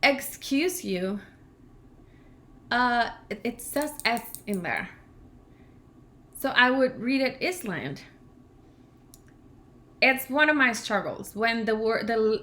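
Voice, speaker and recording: A woman in her thirties from Venezuela with a Venezuelan Spanish accent, whose first language is Spanish, chatting casually and rambling on a livestream as a language channel co-host. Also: medium pitch. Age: 30s. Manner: chatty, rambling, laughs often, grows animated when ranting.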